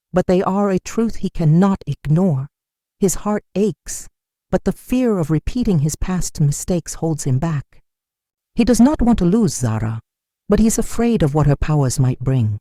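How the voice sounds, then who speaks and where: Folktale narrator, mid-pitched and mellow, solemn dramatic read, very dry recording. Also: no echo. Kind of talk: dramatic scripted storytelling narration.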